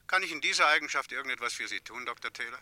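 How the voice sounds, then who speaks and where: A man's voice: medium pitch, animated, very fast, bright, forceful tone. A man acting a stiff, indignant senior psychiatry professor, formal and haughty, in a vintage German radio play. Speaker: actor in a crime radio play.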